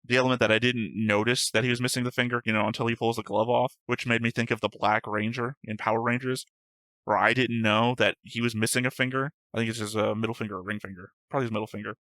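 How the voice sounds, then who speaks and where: Bright-voiced man reading show notes. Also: medium pitch, flat delivery, fast, no room sound. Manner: talkative, corrects himself often, swears casually. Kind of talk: casual chat reading notes aloud.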